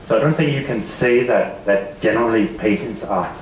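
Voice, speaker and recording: A man with a Danish accent giving a seminar talk, halting and repeating words often. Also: on the low side, slight background noise. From Denmark. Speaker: seminar speaker. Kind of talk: conference presentation. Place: seminar hall. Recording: echoey hall from far off.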